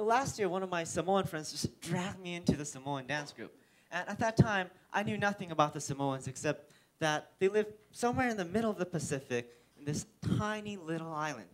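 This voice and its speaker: A teenage boy from South Korea, a native Korean speaker, giving a prepared talk on an auditorium stage through a headset mic, earnest and careful, sometimes correcting himself. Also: fairly high pitch. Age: teens. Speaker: student speaker.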